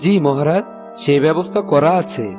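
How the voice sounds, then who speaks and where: A man's voice: fairly high pitch, moderate pace, over music, natural voice. A man voicing an obedient army commander to a king in a Bangla cartoon. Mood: respectful. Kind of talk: Bangla cartoon fairy-tale voice acting.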